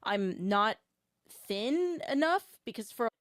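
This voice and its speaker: A young woman talking casually as a podcast guest, rambling with many fillers and a low-ish voice. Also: lively intonation, slow pace, fairly bright tone, no room sound, clean studio sound.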